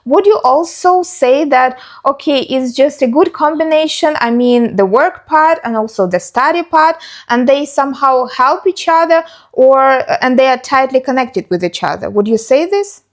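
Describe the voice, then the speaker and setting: A woman's voice: high-pitched, animated, normal speed, hardly any pauses. A woman hosting a casual podcast interview, attentive and asking questions. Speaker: host.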